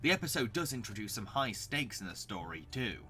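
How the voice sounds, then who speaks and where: Bright-voiced young man narrating a review. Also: lowish voice, sing-song, fairly quick, breathy edge, faint music behind. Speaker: host and narrator of a cartoon recommendation video. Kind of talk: scripted review narration with casual asides.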